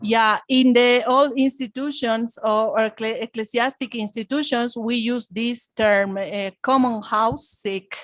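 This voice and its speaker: A woman's voice: a little high, steady tone, measured pace, few pauses, a bit bright, no echo. Woman, hesitant, searching for words. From Bolivia. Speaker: church charity representative. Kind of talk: panel contribution.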